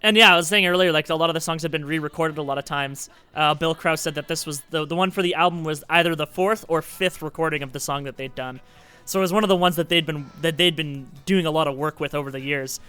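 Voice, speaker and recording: A man with a nasal voice co-hosting a casual podcast about music, animated and quick to laugh. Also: a little high, smooth. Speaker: podcast co-host.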